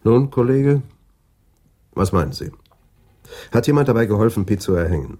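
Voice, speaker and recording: An actor playing a direct, pressing police investigator in a German radio crime drama. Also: male voice, lowish voice, big pitch swings, moderate pace, mellow. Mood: inquisitive.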